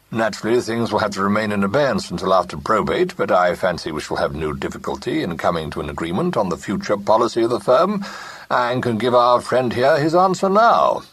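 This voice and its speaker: A man's voice: lowish voice, breathy edge. A man voicing a pompous older businessman who talks ponderously with a false heartiness. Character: pompous older male company partner.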